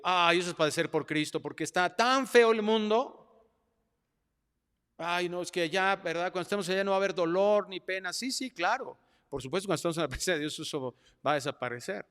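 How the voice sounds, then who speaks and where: Mexican pastor preaching in a reverberant hall. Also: male voice, on the high side. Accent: Mexican Spanish. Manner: animated preaching, swinging from quiet asides to loud mocking voices. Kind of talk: sermon. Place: church hall.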